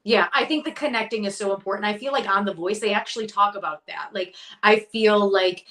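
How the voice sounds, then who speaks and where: A woman's voice: medium pitch, fairly bright tone. A woman hosting a casual interview, upbeat and chatty. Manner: upbeat, chatty, quick to agree. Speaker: podcast host.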